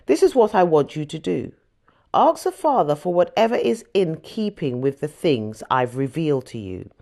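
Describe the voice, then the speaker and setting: A woman reading scripture aloud as scripted audiobook narration, with an expressive storytelling delivery. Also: on the low side. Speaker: audiobook reader.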